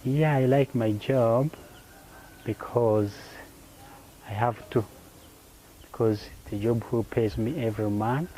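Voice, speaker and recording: A young Ugandan-accented man giving an unscripted personal testimony in an earnest, halting way with simple, repeated phrasing. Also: medium pitch, mellow, a little hiss or hum, poor audio. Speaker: interviewee sharing personal faith testimony.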